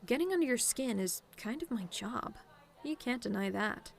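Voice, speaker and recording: A woman's voice: fairly high pitch, expressive, normal speed, gravelly. A woman playing a cocky, sarcastic tomboy demon with a casual, teasing delivery in an audio roleplay. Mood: smug teasing. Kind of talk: ASMR-style scripted roleplay monologue.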